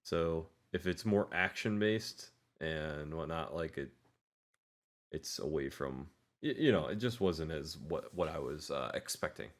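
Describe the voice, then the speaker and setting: A man's voice: very deep voice, lively intonation, a little slow. A man co-hosting a podcast, explaining his opinion casually and hesitantly, qualifying as he goes. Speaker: podcast co-host. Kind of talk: casual movie discussion.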